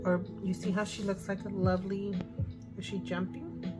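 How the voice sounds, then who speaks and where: Woman, hoarse, chatty tarot reader, thinking aloud, mid pitch, loud music behind. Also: little pitch movement, normal speed. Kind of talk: casual tarot card reading.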